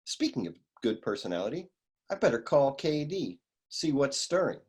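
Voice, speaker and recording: A man playing a cynical, down-on-his-luck private eye in a humorous noir radio play, world-weary and grumbling, with a husky voice. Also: mid-range voice, very animated, normal speed, a little mellow. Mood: musing.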